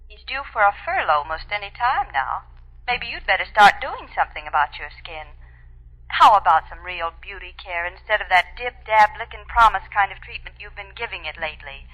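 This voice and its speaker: Woman acting nagging inner voice, teasing, critical, lively pitch swings, 1940s radio skit, dull old broadcast sound. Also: moderate pace, natural voice. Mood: needling. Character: needling inner voice of a young lady.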